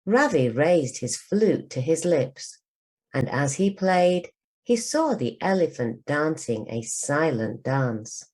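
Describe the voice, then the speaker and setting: Slow, low-voiced woman reading a storybook. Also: big pitch swings, darkish tone. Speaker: teacher reading a storybook aloud. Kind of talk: scripted storybook narration for learners.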